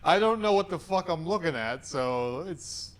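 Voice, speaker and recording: A man's voice: a little high, expressive, moderate pace, slight background noise. A man with a soothing voice hosting a tattoo reaction show, giving frank, opinionated critiques with casual swearing.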